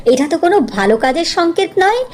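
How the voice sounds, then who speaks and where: A woman's voice: very high-pitched, sing-song, fast, pitched above the performer's own voice. Woman as a meddling, gossipy cartoon neighbour. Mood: mock pity, discouraging. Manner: gossipy, discouraging, mock-pitying. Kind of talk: cartoon story character acting.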